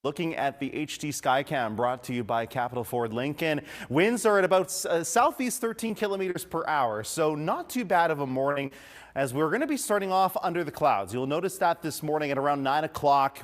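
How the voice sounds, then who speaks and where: A man presenting weather and traffic in a semi-scripted morning broadcast style. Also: on the high side.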